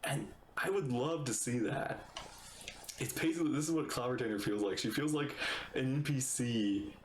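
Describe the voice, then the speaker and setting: A man giving casual, excitable, rambling reaction commentary while playing a game, talking back to the characters. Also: medium pitch, normal speed, echoey room, a little hiss or hum.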